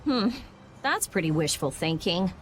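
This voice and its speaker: A woman's voice: lowish voice, very animated, a little slow, a bit bright, slight background noise. A woman voicing a blunt, skeptical, brainy teenage girl in a video game dub, curt and matter-of-fact. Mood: dismissive.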